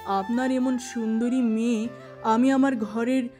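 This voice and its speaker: A woman's voice: fairly high pitch, fairly even intonation, measured pace, warm tone, soft background music, close to an everyday voice. A woman voicing a confident, self-made young village businessman. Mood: proud.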